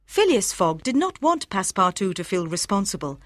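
A woman reading a scripted storybook narration in a clearly enunciated, expressive way. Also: mid-range voice, normal speed, no room sound, studio quality. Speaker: audiobook narrator.

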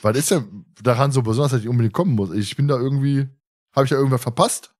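A man hosting a casual fan podcast, chatting in an animated, joking way. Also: medium pitch, quick pace. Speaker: podcast host.

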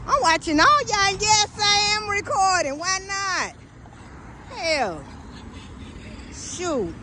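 A woman, chatting to her viewers while she films on a walk and scolds the drivers going by. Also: sits high. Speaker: vlogger filming a walk. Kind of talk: casual walk-and-talk commentary. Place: outdoors by a busy suburban road. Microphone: phone camera held while walking. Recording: noisy street recording with traffic. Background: passing car traffic.

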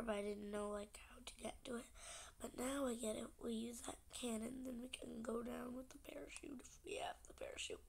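A young gamer with a stuffy, congested nose, chatting casually and often half-whispering while playing a game. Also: female voice, fairly high pitch, gravelly. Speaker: gaming channel host. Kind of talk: casual gameplay commentary.

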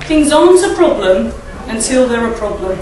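Middle-aged woman, croaky, leading a chatty church talk at a lectern mic; distant, echoey hall with noise behind. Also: fairly high pitch, big pitch swings, moderate pace, a little mellow. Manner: chatty, asks the audience tag questions and laughs often. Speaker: church speaker. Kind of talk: informal talk at a church service. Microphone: standing mic at a lectern, filmed by a far camera.

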